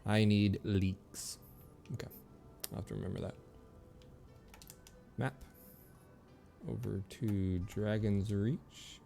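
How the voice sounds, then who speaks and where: Casual male game streamer, low and creaky, thinking aloud over soft game music. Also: flat delivery, slow pace, pauses often. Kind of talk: casual gameplay commentary.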